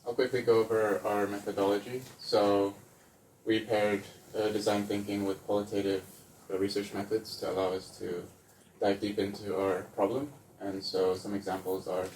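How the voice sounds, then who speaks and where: A young man from Lithuania giving part of a student presentation, with hesitant pauses. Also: on the low side, a little mellow, a little hiss or hum. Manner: quick overview, hesitant "uh" fillers. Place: lecture room. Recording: roomy echo, distant mic.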